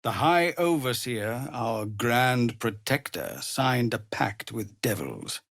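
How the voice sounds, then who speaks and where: A middle-aged tiefling leader of refugees, grave, dutiful and commanding. His delivery is formal and unhurried, with a mid-pitched voice that swings expressively. It is dramatic video game acting, recorded very dry. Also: sing-song, creaky, fairly bright tone, no room sound, full character voice. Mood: grave, earnest. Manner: formal, measured, earnest, commanding.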